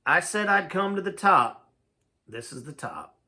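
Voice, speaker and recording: A man reading the part of a plain-spoken, stubborn mountain balladeer in a flat, terse manner. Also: sits high, unhurried, exaggerated voice. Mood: defiant.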